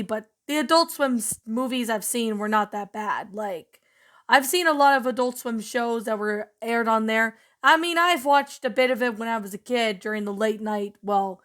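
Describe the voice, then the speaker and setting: A woman whose voice is hoarse from a cold, sitting a little high in pitch. She hosts her own channel and talks through a casual review in a loose, rambling way, full of fillers and restarts, staying upbeat. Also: a bit bright. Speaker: channel host reviewing a story.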